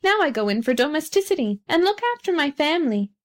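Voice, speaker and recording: A woman's voice: high voice, very animated, fast talker, pitched above the performer's own voice. A woman voicing a placid, practical female duck from a storybook, with a peaceable, matter-of-fact manner. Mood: chatty, domestic. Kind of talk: storybook character reading.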